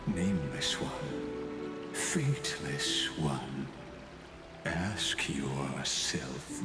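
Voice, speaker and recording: A man playing an ancient imprisoned scholar in a game, a grandiose and ominous philosopher speaking with solemn, deliberate gravity. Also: rumbling low, full character voice. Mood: probing, ominous. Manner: solemn, slow, portentous, deliberate. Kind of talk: theatrical scripted game monologue. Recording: echoey, with loud music behind. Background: loud game music.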